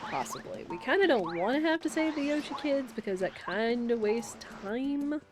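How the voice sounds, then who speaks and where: A woman's voice: a little high, expressive, normal speed. A young woman who sounds congested and nasal from a cold, chatting casually while streaming a game with rambling, filler-heavy talk. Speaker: gaming streamer. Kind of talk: casual live-stream commentary. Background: game music.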